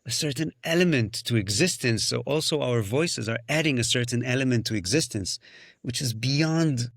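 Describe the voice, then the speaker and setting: A man's voice: medium pitch, animated, moderate pace, vocal fry, a bit bright, no room sound, clean studio sound. A man whose first language is Hebrew, a musician and singing-circle facilitator, talking thoughtfully in a podcast conversation, often restarting phrases and slipping into sung sounds.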